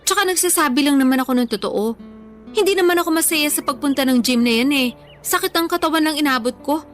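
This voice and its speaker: A food-loving young Filipino woman with a very high voice. She is defensive and cheeky, bantering in radio drama style. Music plays behind her. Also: quick pace, fairly bright tone. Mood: cheeky, stubborn.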